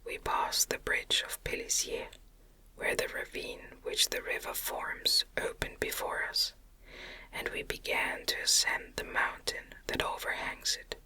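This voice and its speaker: Slow, crisp whispering woman reader. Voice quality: breathy unvoiced whisper. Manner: gentle, measured. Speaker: audiobook reader. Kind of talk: ASMR whispered reading of a gothic novel.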